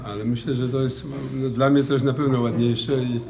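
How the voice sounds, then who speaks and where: A man's voice: medium pitch, flat delivery, a little fast. A middle-aged or older man giving an informal interview. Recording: echoey room with crowd chatter.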